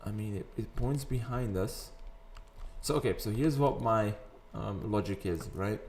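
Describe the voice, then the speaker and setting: Man with a raspy morning voice. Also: fairly low pitch, a little slow, darkish tone, a little hiss or hum. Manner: chatty, rambling, wanders into sidetracks. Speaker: gaming video host. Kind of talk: casual gameplay commentary. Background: faint background music.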